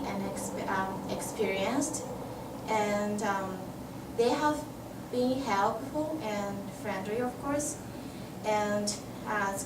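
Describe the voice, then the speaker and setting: A woman from Japan, a language student, speaking carefully and pausing often. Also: medium pitch. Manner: careful, with pauses and fillers. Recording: echoey, distant, noisy room.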